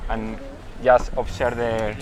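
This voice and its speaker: A man's voice: mid-range voice, level tone, slow, poor audio. A man in his thirties from Spain, a guest on a walking tour, chatting eagerly but hesitantly in English. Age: 30s. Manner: enthusiastic, hesitant, restarts his phrasing. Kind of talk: casual chat. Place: outdoors on busy town streets. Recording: outdoor street noise.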